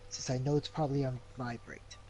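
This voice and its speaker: A man's voice: mid-range voice, fairly even intonation, fairly quick, dark tone, a little hiss or hum, rough recording. A man taking part in a tabletop role-playing game with casual chat.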